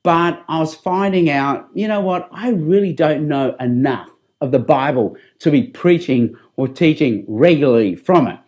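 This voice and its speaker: A middle-aged man with an Australian accent giving a personal testimony as a public speaker, in a deliberate, earnest way. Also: fairly high pitch, lively intonation, a little mellow, muffled recording. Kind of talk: testimony addressed to camera.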